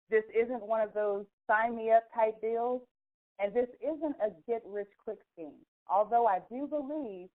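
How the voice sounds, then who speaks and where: A woman hosting a conference call, delivering a persuasive, scripted sales pitch with storytelling, emphatic phrasing and rhetorical questions. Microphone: telephone. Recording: thin narrow phone conference line.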